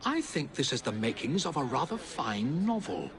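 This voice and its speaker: A man acting as a wry, theatrical Victorian gentleman novelist and amateur sleuth in a video game, with literary phrasing. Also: medium pitch, big pitch swings, moderate pace. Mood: wry, reflective.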